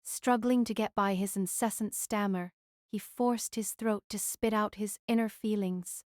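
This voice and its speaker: Female audiobook narrator, mid-pitched, reading a fantasy novel in a dry, close recording. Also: steady tone, measured pace, a little mellow, no echo.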